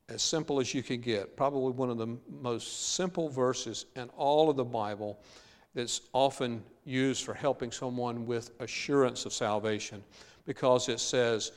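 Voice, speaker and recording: A man preaching a sermon in earnest, slowing down and drawing out key words for emphasis. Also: mid-range voice. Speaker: pastor. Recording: long hall reverb.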